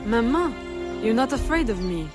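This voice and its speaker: Resolute young assassin. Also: female voice, on the high side, sing-song, moderate pace, loud music behind the voice. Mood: tender, resolute. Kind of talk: video game cutscene acting.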